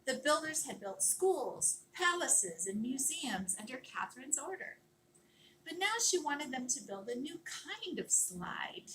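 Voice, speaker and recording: A woman reading a children's picture book aloud in an expressive, dramatic storytelling manner, leaning on key words and drawing them out. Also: high-pitched, a little slow, a little hiss or hum, poor audio. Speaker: storybook reader.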